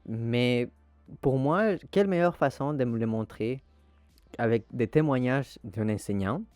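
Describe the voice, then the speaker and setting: Young man with a mellow voice. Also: mid-range voice, animated, a little slow, no echo, soft background music. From abroad, immigrated to Canada. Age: young adult. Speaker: art school applicant presenting himself. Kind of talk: scripted personal video narration.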